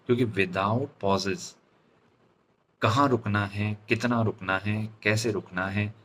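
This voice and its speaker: A man with an Indian accent and a fairly low voice teaches like a public speaking coach. He moves in deliberate, halting phrases and often checks in with short tag questions. There is faint noise behind him. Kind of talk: instructional lecture on voice modulation.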